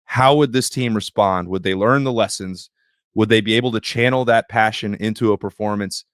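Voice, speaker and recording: A man hosting a sports podcast, slightly hoarse, talking in a wry, opinionated way. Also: lowish voice, normal speed, dry recording, studio quality. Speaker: podcast host.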